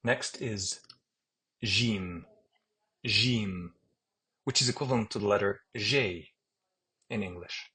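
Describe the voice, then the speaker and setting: A man with a Palestinian accent teaching an alphabet lesson, saying each letter name slowly and repeating it patiently. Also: fairly low pitch, pushed and bright, slightly rough. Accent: Palestinian Arabic. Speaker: Arabic teacher. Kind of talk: screen-share alphabet lesson.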